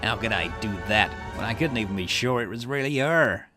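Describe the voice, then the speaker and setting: A man's voice: fairly low pitch, lively intonation, a little fast, over music, natural voice. A man reading game dialogue aloud, voicing a bitter, obsessive grieving man who snarls defensively and builds to outbursts.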